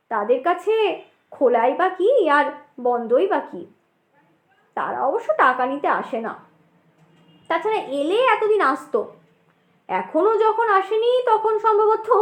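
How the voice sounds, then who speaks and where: A woman's voice: very high-pitched, echoey room. A woman narrating a ghost story in the first person, in a theatrical, suspenseful storytelling manner.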